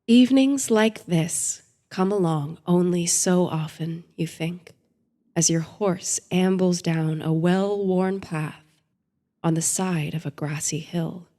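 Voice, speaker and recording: Slow, mellow woman narrating a sleep story. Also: on the low side. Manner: soothing, gentle, measured delivery. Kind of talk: guided meditation and bedtime story narration.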